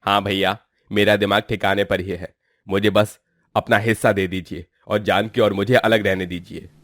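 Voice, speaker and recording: A man's voice: on the low side, level tone, moderate pace, fairly bright tone, no room sound, clean studio sound, lightly acted. A man playing an eager, insistent younger brother in a dramatized moral story. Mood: resentful. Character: eager younger brother, excitable and later envious.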